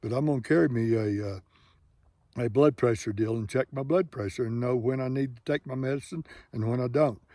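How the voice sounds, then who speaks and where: A man's voice: medium pitch, a little fast, dark tone, no room sound. A man in his late sixties, a hiking vlogger, talking candidly and at length to his viewers in a folksy, rambling way. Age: 60s. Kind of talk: candid casual talk to camera. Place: living in Colorado.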